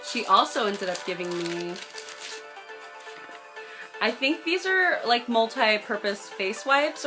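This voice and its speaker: A young adult American woman chatting casually to camera as she tells a personal story, bubbly, gushing and emphatic. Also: on the high side. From United States. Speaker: vlogger telling a personal story.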